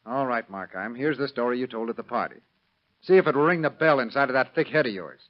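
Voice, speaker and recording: A man's voice: mid-range voice, animated, quick pace, natural voice. A man acting a sardonic, clipped police detective in an old radio drama, in a dull vintage recording. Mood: stern, accusing. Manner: clipped, hard-boiled, slangy. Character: brisk, sardonic, skeptical male police detective.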